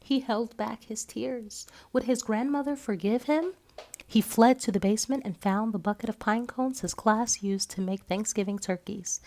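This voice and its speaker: A woman storyteller narrating a children's picture book aloud in an expressive style. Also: mid-range voice.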